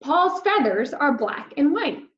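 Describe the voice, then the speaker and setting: A woman plays a little storybook puffin boy, high-pitched and plaintive. He sounds earnest and worried, in a roomy, slightly dull recording. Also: sing-song, measured pace. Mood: earnest, disappointed. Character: small lost puffin, earnest, worried young male. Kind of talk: children's storybook character voice.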